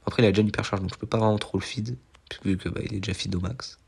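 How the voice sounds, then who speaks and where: A young man with a cold, nasal and stuffy, giving excitable, slangy commentary over his own gameplay and poking fun at his mistakes. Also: on the low side, rapid-fire, vocal fry, breathy edge. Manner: excitable, self-mocking, slangy. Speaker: gaming YouTuber.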